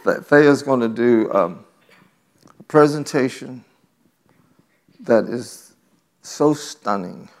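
Gravelly-voiced male preacher. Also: mid-range voice, expressive, slow pace, pauses often. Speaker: pastor. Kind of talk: sermon.

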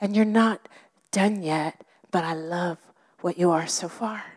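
A woman preaching a sermon with an expressive delivery that often drops to a whisper. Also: fairly low pitch, unhurried.

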